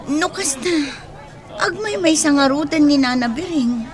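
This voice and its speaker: A woman's voice: high voice, lively intonation, unhurried, crowd noise. A woman playing a kindly, reassuring young social worker in a radio drama. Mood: concerned. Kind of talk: radio drama acting in Ilocano.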